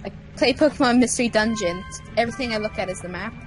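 A woman's voice: fairly high pitch, fast talker, music in the background, low-quality recording. A woman chatting casually and playfully over a video game, giggly, often acting out silly little lines. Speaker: co-commentator on a game playthrough. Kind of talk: casual gameplay chat.

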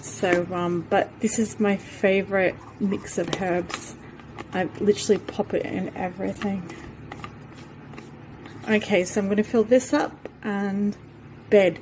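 Woman vlogger, unhurried rambling kitchen chat on a phone camera, faint room hum behind. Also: medium pitch, steady tone. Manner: rambling and chatty, with many fillers. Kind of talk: casual vlog talk. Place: home kitchen, indoors. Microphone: handheld phone camera.

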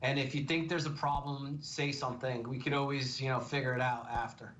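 A man's voice: medium pitch, level tone, brisk, fairly bright tone, poor audio. A middle-aged man, a defense attorney guest on a TV show, speaking earnestly and measuredly. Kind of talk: TV legal commentary.

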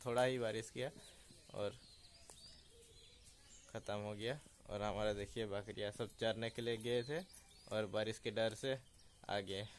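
A young man in his twenties talks easily to his phone camera, pointing things out to viewers. His voice sits at an ordinary middle pitch. The phone audio is noisy, with outdoor sounds and animals heard behind him. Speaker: village vlogger. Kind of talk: casual daily vlog narration. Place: village courtyard outdoors, rain, goats nearby. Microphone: phone selfie at arm's length.

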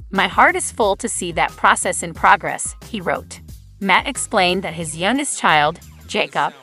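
A woman's voice: mid-range voice, pushed and bright. A woman reading scripted celebrity gossip news as a voice-over, quotes read in her same narrating voice. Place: voice-over over photo slideshow.

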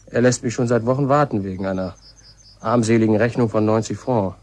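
Schauspieler als redseliger Automechaniker vom Dorf. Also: male voice, fairly low pitch, brisk, a little mellow, close to an everyday voice. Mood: casual. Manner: colloquial, chatty. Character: male village car mechanic, plain-spoken, gossipy local. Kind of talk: German radio play acting.

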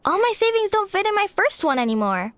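A woman's voice: very high voice, sing-song, fairly quick, a bit bright, no echo, full character voice. A woman voicing a bubbly, thrifty little schoolgirl in a video game.

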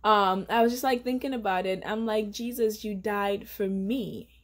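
A woman's voice: medium pitch, steady tone, moderate pace. Earnest chatty young woman vlogging. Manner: chatty and earnest, many fillers and self-corrections. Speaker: faith vlogger. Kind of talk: casual devotional vlog. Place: indoor living room at home.

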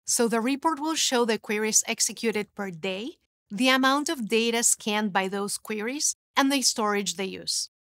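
A woman in her thirties presenting a scripted technical explainer in a studio, in a clear, even, instructive way. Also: fairly high pitch, moderate pace, no room sound. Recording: dry studio sound.

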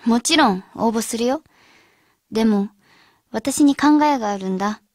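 Radio drama role: thoughtful schoolgirl leader, gentle, fairly high, soft-edged, dry studio sound. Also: measured pace, no room sound. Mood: resolved. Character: thoughtful, caring high school girl leading her friends.

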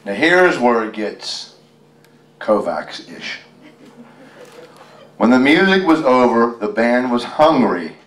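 A man's voice: mid-range voice, big pitch swings, slow pace, long pauses, fairly bright tone. A man reading a picture book aloud to a group, chatty and folksy, with rambling ad-libbed asides to his listeners. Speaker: guest storyteller, tribute performer. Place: public library reading event. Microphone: handheld microphone. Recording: roomy, echoey, distant microphone pickup.

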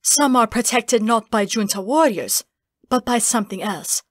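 Fantasy audiobook master female narrator: solemn, deliberate, secretive, dry sound. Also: on the high side, animated, no room sound. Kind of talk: audiobook character acting.

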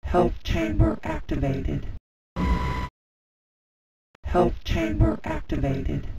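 A woman voicing a computer announcer in a game, reading status messages slowly. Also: extremely high pitch, vocal fry, exaggerated voice. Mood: announcing. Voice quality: slow, flat announcing. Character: female computer voice of a game base. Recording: noisy game audio capture.

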